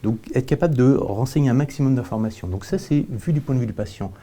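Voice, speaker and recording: Very mellow, fast-talking man explaining. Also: medium pitch. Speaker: company representative, panel guest. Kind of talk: explanatory interview answer.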